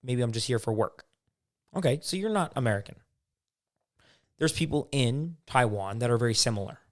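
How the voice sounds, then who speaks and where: A man's voice: mid-range voice, expressive, normal speed. A man co-hosting a casual talk podcast, giving firm opinions and explaining with everyday examples. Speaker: podcast co-host. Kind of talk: casual chat.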